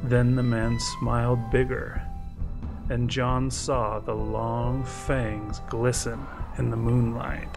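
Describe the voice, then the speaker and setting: A man's voice: mid-range voice, flat delivery, slow, creaky. A man narrating a scripted short story in a suspenseful storytelling manner. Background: music.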